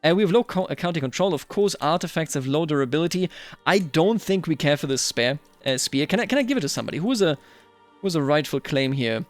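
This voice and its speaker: Higher-pitched man narrating a strategy game. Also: expressive, quick pace. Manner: thinks aloud, slips into theatrical address to characters. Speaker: gaming video host. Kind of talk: live roleplay commentary over a strategy game. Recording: music behind the voice. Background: game music.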